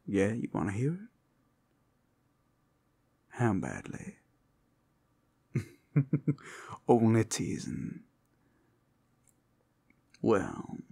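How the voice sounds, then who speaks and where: A man acts out a seductive werewolf companion in a fantasy ASMR roleplay. His voice is low and gravelly, sometimes sinking to a breathy whisper. He takes his time, teasing the listener in a playful drawl that rises and falls widely. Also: exaggerated voice. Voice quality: gravelly, husky, often dropping to a whisper. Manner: slow, playful, seductive drawl with pet names. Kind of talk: ASMR roleplay, intimate scripted acting to the listener.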